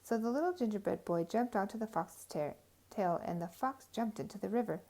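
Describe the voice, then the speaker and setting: A woman storyteller reading a children's tale aloud with expressive, emphatic phrasing. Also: mid-range voice, fairly quick.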